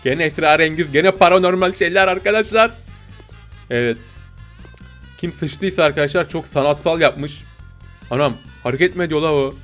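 A man doing playful, excitable gameplay commentary, joking with viewers in slangy exclamations. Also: a little high, normal speed, long pauses. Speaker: gaming video host. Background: game music.

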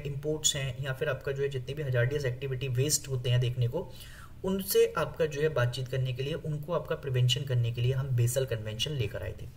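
A man with an Indian accent teaching an exam-prep lesson, explaining with many fillers, reading English lines slowly and asking viewers questions. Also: medium pitch. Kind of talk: exam-prep lecture over slides.

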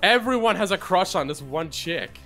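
A man's voice: high-pitched, lively intonation, normal speed, pushed and bright, soft background music. A man hosting a gaming channel, reading a visual novel aloud with crude, wisecracking commentary. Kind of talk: comic read-along commentary on a visual novel.

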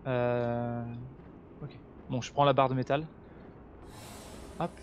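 A man's voice: mid-range voice, fairly even intonation, takes it slowly, muffled recording, a little hiss or hum. A man chatting casually while playing a co-op game, explaining the mission and guiding his partner. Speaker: gamer, co-host of a gaming channel. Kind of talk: casual co-op gameplay chat.

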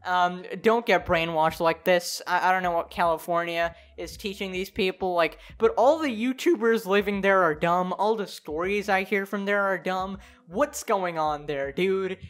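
A woman's voice: lowish voice, moderate pace, hardly any pauses. A young woman giving casual, opinionated online commentary in an exasperated, incredulous, slangy way. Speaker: YouTuber. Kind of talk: casual opinionated commentary, reading articles aloud.

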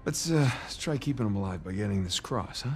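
American adventurer dude in a game, earnest n confident, pitch jumps around, music behind. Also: male voice, expressive, fairly quick. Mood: eager, urgent. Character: confident, loyal adventurer man planning a heist. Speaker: video game character. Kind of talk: naturalistic game cutscene dialogue.

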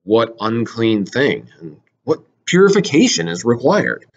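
A man's voice: mid-range voice, sing-song, measured pace, dull audio, voice pushed down. A resolute king from a stage tragedy, read by a man, declaiming and probing. He questions firmly and speaks with formal weight.

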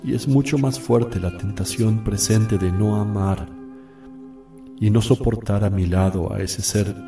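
An actor playing an anguished, solemn man praying sorrowfully to his divine Father in a radio drama, with long pauses. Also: fairly low pitch, fairly even intonation. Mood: sorrowful, pleading. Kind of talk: radio drama acting, slow prayerful monologue. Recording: distant, roomy, with loud music behind.

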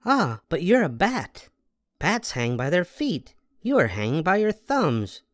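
A man's voice: fairly high pitch, wildly swooping pitch, unhurried, no echo, clean studio sound, voice pushed up. A man voicing a blunt, cocksure bat stranger in a storybook. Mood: blunt, certain.

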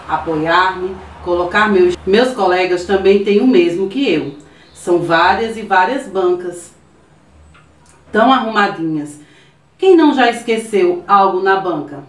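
Patient woman teaching small children. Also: medium pitch. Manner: slow, patient, sing-song teacher talk to small children. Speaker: primary school teacher. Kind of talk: remote lesson for young children. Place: small decorated classroom indoors. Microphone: camera microphone from a few meters away. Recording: echoey room, voice from a distance.

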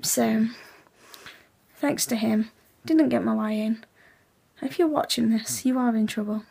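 Hoarse whispering teen girl. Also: a little high, a little slow. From England. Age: teens. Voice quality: raspy. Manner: hushed, half-whispered, chatty. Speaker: vlogger. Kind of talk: casual late-night vlog. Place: bedroom at night, indoors. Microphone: laptop webcam.

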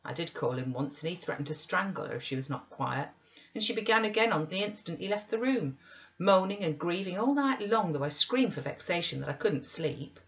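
A woman's voice: on the low side, animated, a little fast, pitched above the performer's own voice. Woman as selfish sickly youth, petulant, whining, storybook character, slightly muffled recording. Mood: cross, whining. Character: sickly, selfish, peevish teenage boy. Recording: slightly dull webcam audio.

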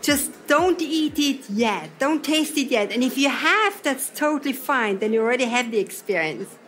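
A woman's voice: high-pitched, animated, normal speed, fairly bright tone, soft background music. Austrian woman leading a workshop talk. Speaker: seminar trainer. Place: event hall.

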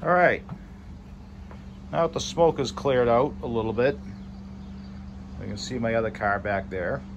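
A man's voice: mid-range voice, measured pace, creaky. A man talks in an easy, rambling way while he films his project in a small wooden garage. His voice sits in an ordinary middle range, with a faint hum of noise behind him. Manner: relaxed, conversational, loose with fillers. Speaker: railcar hobbyist showing his car. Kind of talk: casual video walkthrough. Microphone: phone camera held by the speaker.

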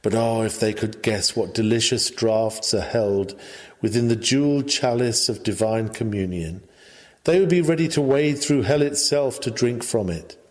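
A man reading an old sermon aloud in a measured, formal, earnest preaching manner. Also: medium pitch. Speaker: reader of a historical sermon. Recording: long hall-like reverb.

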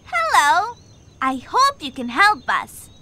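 A woman's voice: very high-pitched, wildly swooping pitch, slow pace, pushed and bright, full character voice. A woman voicing a cheerful young girl explorer who guides the player through a children's game with encouraging instructions.

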